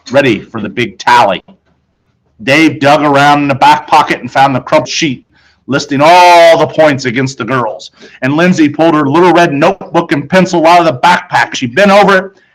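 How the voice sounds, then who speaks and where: A man reading a children's story as expressive scripted narration, dramatic and with deliberate pauses. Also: a little high, poor audio. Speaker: audiobook narrator.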